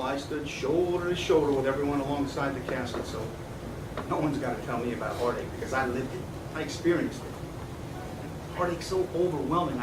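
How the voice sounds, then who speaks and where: A man's voice: mid-range voice, natural voice. An actor as a grieving middle-aged man, distant and noisy. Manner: earnest, halting, solemn. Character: grieving fifty-something man, haunted by friend's death. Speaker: stage actor. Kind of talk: live stage play acting, dramatic monologue. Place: theatre stage indoors. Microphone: far camera. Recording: roomy, distant, noisy theatre recording.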